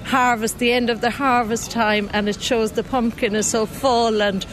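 A woman's voice: fairly high pitch, little pitch movement, moderate pace, a little hiss or hum, low-quality recording. A woman in a street interview, explaining customs in a thoughtful way.

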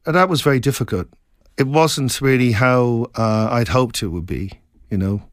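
Middle-aged male author from Scotland, mid-pitched, reflective and rambling interviewee. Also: no echo. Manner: reflective, meandering, halting with many restarts. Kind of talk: casual interview chat.

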